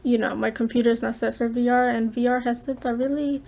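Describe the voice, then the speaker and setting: A soft-spoken woman hosting a casual gaming podcast from home, chatty and giggly. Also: on the high side, steady tone, a little fast, gravelly. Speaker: podcast host.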